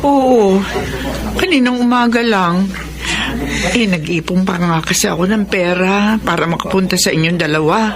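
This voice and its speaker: A woman's voice: mid-range voice, moderate pace, a crowd in the background, lightly acted. An actress playing a weary, long-suffering, loving mother and wife in a radio drama. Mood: resigned. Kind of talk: Tagalog radio drama acting. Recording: noisy radio drama track.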